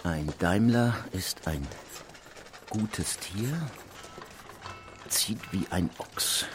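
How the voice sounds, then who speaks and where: A man playing an old-time inventor, reciting a rhyming advertisement in a deliberate, declamatory manner. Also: low voice, expressive, noisy background, full character voice. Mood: proud, folksy.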